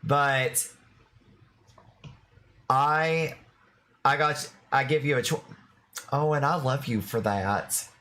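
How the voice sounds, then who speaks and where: A man's voice: medium pitch, slow pace, long pauses, bright, forceful tone. A man in his thirties chatting casually and rambling on his live stream. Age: 30s.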